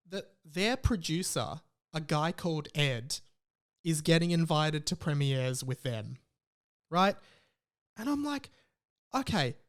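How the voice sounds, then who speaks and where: A man with an Australian accent hosting a podcast in casual, informal chat with a mock-aggrieved way of talking. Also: fairly high pitch. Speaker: podcast host.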